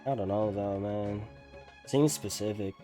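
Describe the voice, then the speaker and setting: Mellow young man commenting on a game. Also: on the low side, measured pace. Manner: slangy, musing aloud. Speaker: gaming streamer. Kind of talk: casual let's play commentary. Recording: game music behind.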